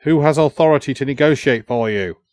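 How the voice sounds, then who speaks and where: A man's voice: mid-range voice, brisk, a little mellow, dry recording, studio quality, close to an everyday voice. A man voicing a taunting young warrior who declaims a conquering king's demands in a storybook. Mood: commanding.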